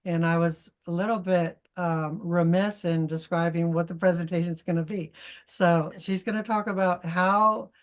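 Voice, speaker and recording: Low-voiced woman hosting an informal webinar. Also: fairly even intonation. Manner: halting, self-correcting, conversational. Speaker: host introducing a guest speaker. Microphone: video call.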